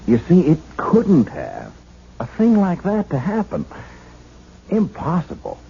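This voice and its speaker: An actor playing a skeptical, patronizing police officer in a 1970s radio drama. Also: male voice, high voice, huge pitch swings, takes it slowly, vocal fry, husky, exaggerated voice. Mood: patient disbelief. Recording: dull, slightly noisy radio broadcast.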